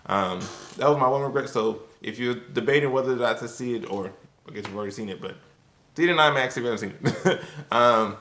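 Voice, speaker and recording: A man's voice: mid-range voice, a little fast, fairly bright tone. A man talking casually and a bit loosely to viewers as he reviews, in an informal conversational way. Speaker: video reviewer. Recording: roomy, echoing sound.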